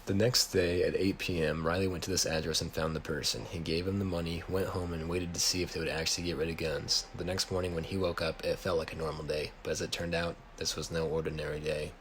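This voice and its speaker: American guy with a low voice reading his story out loud, slight background noise. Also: little pitch movement, quick pace, hardly any pauses. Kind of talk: reading own written story aloud. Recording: noisy home recording.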